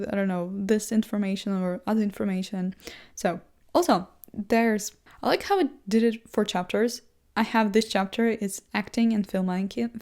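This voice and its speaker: A young woman with a first language other than English, chatting casually and self-deprecatingly as she thinks out loud, full of fillers and restarts. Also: medium pitch. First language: not English. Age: young adult. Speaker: vlogger. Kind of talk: casual rambling monologue. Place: seated indoors in a chair.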